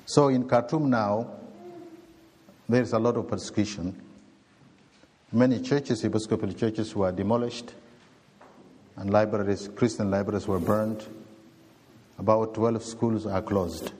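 Sudanese bishop with deep, halting English. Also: male voice, fairly even intonation, a little mellow, long reverb tail, slight background noise. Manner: slow, halting, heartfelt non-native English. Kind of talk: testimony in a church talk.

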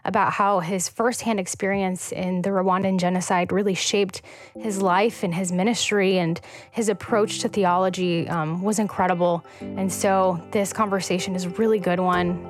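A woman's voice: fairly low pitch, steady tone, normal speed. A woman co-hosting a podcast, speaking in a conversational introductory style. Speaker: podcast co-host. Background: music.

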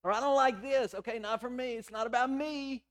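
A middle-aged man preaching. His voice is hoarse and raspy yet sits fairly high. He tells stories in a conversational way, acting out the lines of dialogue. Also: fairly even intonation, a little fast, a bit bright. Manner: conversational storytelling with quoted dialogue. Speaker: pastor. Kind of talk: sermon.

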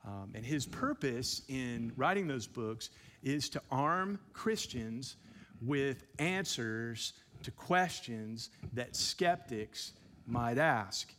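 A man who is a pastor, giving an evening church teaching with a relaxed, self-deprecating manner, many fillers. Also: mid-range voice, takes it slowly, slight background noise. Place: church sanctuary. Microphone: handheld microphone.

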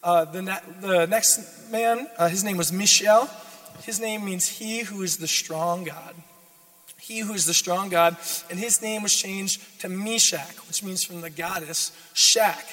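A hoarse young man preaching a sermon as a guest speaker, repeating his key lines and building in intensity. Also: sits high, a little slow, a bit bright. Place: church sanctuary. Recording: long church reverb.

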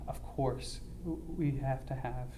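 Earnest man with a dark, mellow voice. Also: mid-range voice, fairly even intonation, a little hiss or hum. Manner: earnest, halting with many ums. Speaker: mission trip speaker. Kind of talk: church mission report, casual.

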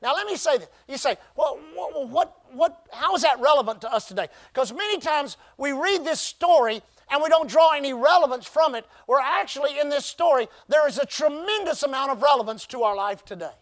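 High-voiced preaching man. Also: animated, fairly bright tone. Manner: call-and-response preaching, emphatic drawn-out phrases. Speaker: pastor. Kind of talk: sermon.

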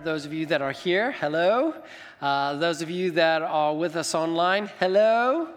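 Man, middle-aged, from Zimbabwe, preacher, conversational sermon, raised pitch, long hall echo. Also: animated, measured pace, soft background music. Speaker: senior minister preaching. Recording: long reverberant hall sound.

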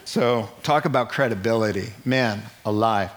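A middle-aged man preaching a sermon in an animated way, acting out the people he quotes and laughing at his own jokes. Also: fairly low pitch, moderate pace. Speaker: pastor.

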